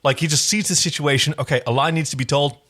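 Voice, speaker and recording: A man co-hosting a podcast, casually retelling a TV show in a playful, animated way. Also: on the high side, fast talker. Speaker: podcast co-host. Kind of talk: casual chat recapping a TV show.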